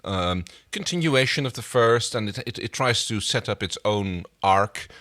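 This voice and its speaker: A man with a somewhat low voice speaks as a podcast co-host. He reviews television in a casual way, explaining his impressions reflectively. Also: animated, moderate pace, fairly bright tone. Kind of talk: casual episode review chat.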